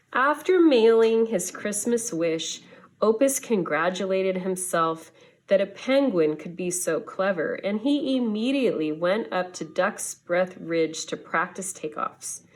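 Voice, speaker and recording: Female storybook reader for young kids, lower register, very measured pace, expressive delivery. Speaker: schoolteacher reading to students. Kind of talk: storybook read-aloud for children.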